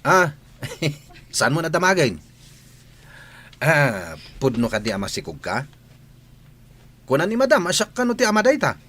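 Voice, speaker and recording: A flustered, gossipy servant in a comic radio drama. His husky, fairly high voice leaps all over as he stammers and exclaims. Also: big pitch swings, a little slow, pauses often, a bit bright, heavily acted. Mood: nosy. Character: nervous, gossipy comic male household servant. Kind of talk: Ilocano comedy radio drama acting.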